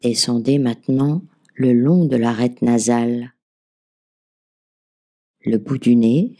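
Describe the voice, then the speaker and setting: A woman leading a guided relaxation as a meditation teacher, giving gentle, soothing, measured instructions. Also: extremely low pitch, fairly even intonation. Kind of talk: guided yoga nidra relaxation narration.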